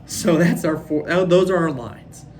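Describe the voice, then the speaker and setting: A young man from Minnesota hosting a casual fan recap, rambling and excitable, his voice a bit worn from screaming. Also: fairly high pitch, a little slow, slight background noise. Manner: rambling, excitable, lots of fillers. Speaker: fan podcast host. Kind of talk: casual solo recap of a hockey game.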